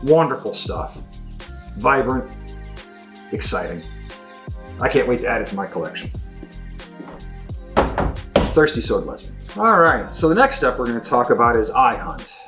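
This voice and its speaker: A man's voice: medium pitch, very animated, unhurried, long pauses, a bit bright, faint music behind. A man giving an enthusiastic, unscripted product review as a game shop presenter, effusive and emphatic, often repeating words for stress.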